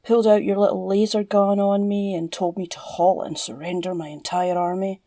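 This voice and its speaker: A woman with a Scottish accent playing an obsessive, flirtatious female villain in an ASMR roleplay, teasing and drawling in a breathy, gravelly voice. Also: mid-range voice, flat delivery, normal speed, dark tone, no echo, clean studio sound, close to an everyday voice. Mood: teasing, coy. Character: obsessive, flirtatious, psychotic female villain, captured crime boss. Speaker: audio roleplay voice actor.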